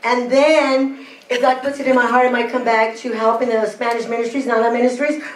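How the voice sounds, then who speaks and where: A middle-aged woman giving an excited, slow, deliberate graduation testimony on a church stage. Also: a little high. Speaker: program graduate. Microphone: handheld mic. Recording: echoey church hall, far off, crowd.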